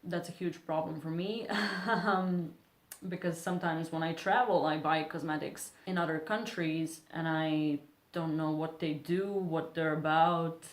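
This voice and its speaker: Early-20s female beauty vlogger, Serbian accent, unhurried and self-deprecating, roomy sound. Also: sits low, fairly even intonation. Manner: chatty, apologetic, self-deprecating. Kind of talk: casual chat to camera. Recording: echoey room.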